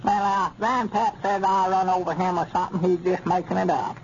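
A high-pitched, fussy old country storekeeper with a folksy Arkansas hill accent. He is literal-minded and crotchety with a soft heart, speaking in a muddled way. It is a dull, noisy 1930s radio comedy recording. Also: flat delivery, a little fast. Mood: defensive, literal. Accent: rural Southern US, Arkansas hill country. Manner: folksy, flustered, muddled stammering.

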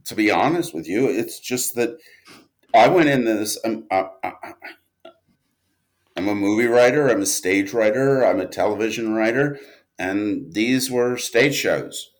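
A man's voice: on the low side, expressive, a little slow. A man speaking as an interview guest in casual, reflective conversation, rambling along in a self-deprecating way. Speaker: interview guest, audio drama writer and producer.

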